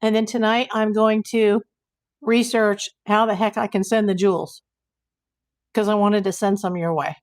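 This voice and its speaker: Hoarse woman rambling on a livestream. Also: mid-range voice, steady tone, normal speed. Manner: rambling, exasperated, talks to chat viewers. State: hoarse, losing her voice. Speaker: YouTube livestream host. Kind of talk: casual livestream chat reading comments.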